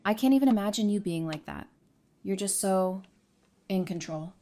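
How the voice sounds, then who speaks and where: A woman's voice: mid-range voice, expressive, normal speed, warm tone, lightly acted. A woman playing a frank, curious survivor in an audio drama, with a wry conversational manner. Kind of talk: fan audio drama acting.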